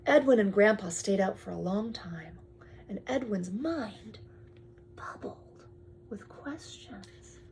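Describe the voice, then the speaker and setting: A woman reads a picture book aloud to a young child in drawn-out phrases, often dropping to a hushed tone and adding chatty asides. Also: mid-range voice, animated, slight background noise. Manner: addresses the child with questions and pointers. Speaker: storyteller reading to a young child.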